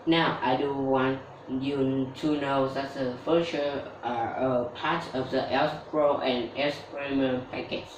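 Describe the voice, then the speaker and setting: A woman talks slowly and steadily through a scripted English-learning tutorial. She sounds set back from the mic in an echoey room, with a faint hum underneath. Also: extremely low pitch. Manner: steady explanatory delivery. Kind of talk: scripted tutorial with sponsor read. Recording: roomy echo, distant mic, faint background noise.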